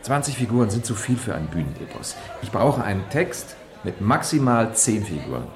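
An actor playing a demanding, businesslike publisher in a radio drama, speaking insistently. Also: male voice, on the low side, big pitch swings, moderate pace. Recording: faint crowd chatter behind. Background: faint crowd.

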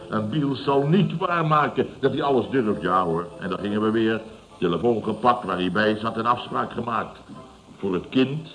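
A man's voice: lowish voice, a bit bright, rough recording. An actor playing a pompous, scornful, self-satisfied contractor boss in a radio comedy, repeating himself and laughing at his own jokes. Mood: indignant. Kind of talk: radio comedy serial acting.

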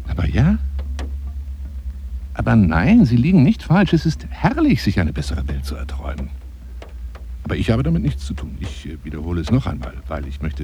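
Gravelly, wildly expressive lonely bookworm. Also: male voice, medium pitch, a little hiss or hum. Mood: fervent, insistent. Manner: theatrical, rambling, insinuating, often dropping to near-whisper. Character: lonely, fat, bookish man, self-important rambling dreamer on telephone. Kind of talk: radio drama monologue.

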